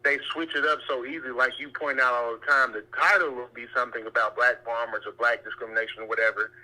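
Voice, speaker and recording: A man calling in to share opinions conversationally, halting and circling back to rephrase his points, over a telephone-like line. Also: mid-range voice, steady tone, bright, forceful tone. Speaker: caller.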